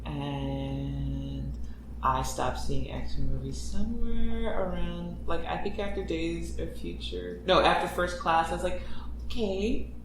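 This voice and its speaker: A woman voice actor talking casually in a Q&A, joking and animated, with some mild swearing. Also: lowish voice, slow pace. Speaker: voice actor on a panel. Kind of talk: casual Q&A chat. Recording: echoey room, distant mic, faint noise.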